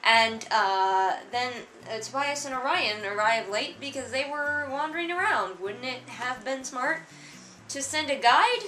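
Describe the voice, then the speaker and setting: A woman's voice: sits high, expressive, unhurried, pushed and bright, roomy sound, faint music behind. A woman giving casual comedic book commentary in a sarcastic, exasperated, conversational way. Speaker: host of a book read-along.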